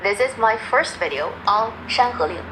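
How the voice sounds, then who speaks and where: A woman presenting her own video essay, explaining film dialogue in a measured, scripted way. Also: mid-range voice, expressive, fairly bright tone, a little hiss or hum, rough recording. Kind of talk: scripted narration.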